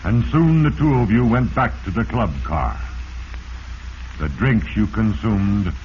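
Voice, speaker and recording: An actor playing Fate as a solemn, all-knowing, portentous narrator in a 1940s radio drama. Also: male voice, on the low side, animated, slow, a little mellow. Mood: knowing, grim. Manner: ominous, portentous, theatrical. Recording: muffled, hissy 1940s radio recording.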